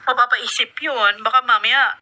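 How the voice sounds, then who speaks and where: Blunt Filipino housewife, noisy recording. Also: female voice, on the high side, steady tone, normal speed, bright, forceful tone. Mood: blunt, uneasy. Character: blunt, possessive married woman, an adoptive mother running her household. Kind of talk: Filipino radio drama acting.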